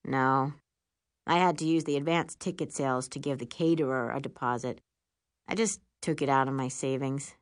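A woman narrator puts on a laid-back teenage boyfriend for an audiobook. The voice drops low and manly, cool and reassuring, recorded dry. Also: moderate pace, dry recording. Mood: calm, reassuring. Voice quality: deep, manly. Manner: cool, easygoing.

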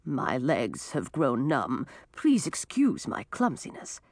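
A woman voicing a frail old male beggar in a storybook, plaintive and falsely humble with drawn-out phrasing. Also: a bass voice, wildly swooping pitch, darkish tone, no room sound, studio quality, pitched below the performer's own voice, exaggerated voice. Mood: feigned politeness. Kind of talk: storybook character voice.

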